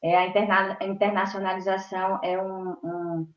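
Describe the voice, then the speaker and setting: A woman from Brazil, a university professor, giving a webinar talk over a video call. She speaks haltingly and stops often to search for words. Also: medium pitch, little pitch movement, slight background noise. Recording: roomy, dull video-call audio.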